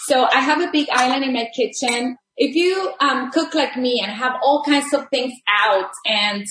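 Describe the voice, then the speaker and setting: A woman's voice: sits high, normal speed, fairly bright tone. Chatty woman teaching in an echoey kitchen. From Texas border region. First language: Spanish. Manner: friendly, chatty, many fillers and restarts. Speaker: rehabilitation teacher, guest. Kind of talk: cooking demonstration lesson. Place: home kitchen.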